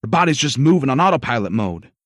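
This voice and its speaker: A woman voicing a calm, gentle male medic in an audiobook, speaking soothingly. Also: a bass voice, quick pace, no echo, studio quality, pitched below the performer's own voice, exaggerated voice. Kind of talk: audiobook dialogue.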